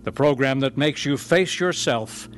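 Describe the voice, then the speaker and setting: A man announcing and narrating a radio drama in a measured, earnest broadcast style. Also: medium pitch.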